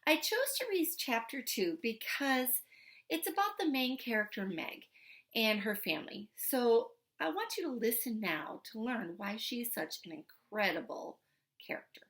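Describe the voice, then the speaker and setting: Woman, high-pitched voice, very lively intonation, expressive storybook read-aloud, emphatic phrasing for children. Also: normal speed. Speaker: teacher reading a children's book aloud.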